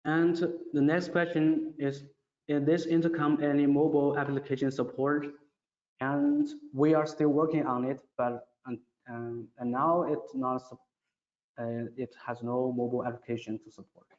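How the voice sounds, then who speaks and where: A male presenter with non-native English works through a question-and-answer session. He reads each question out, then answers haltingly in plain, simple phrasing. His mid-pitched voice comes through muffled, with heavy room reverb. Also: a little mellow. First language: non-native English speaker.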